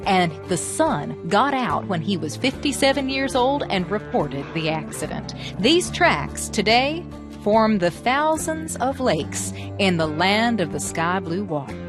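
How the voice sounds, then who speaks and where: A woman telling folk tales as a presenter, with expressive, theatrical, emphatic phrasing. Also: medium pitch, a little slow, hardly any pauses, over music. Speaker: storyteller and presenter. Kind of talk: animated storytelling narration of American folklore.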